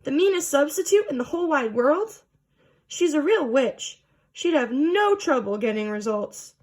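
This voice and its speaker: A woman voicing excitable schoolkids and boys on a football team in a storybook, with exclamatory, gossipy talk. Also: high-pitched, moderate pace. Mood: eager, gossipy.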